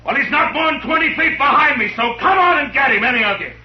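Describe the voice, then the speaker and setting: An actor playing a calm, commanding frontier marshal in a 1950s radio drama, speaking low and firm. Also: male voice. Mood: defiant. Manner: low, measured, firm; rises to a shout facing a mob. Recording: dull old radio recording with faint hiss.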